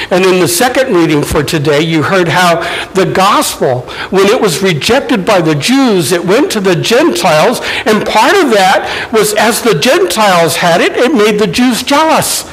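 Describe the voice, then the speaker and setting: A male pastor preaching a sermon in a conversational, deliberate way. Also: sits high, fairly bright tone, poor audio.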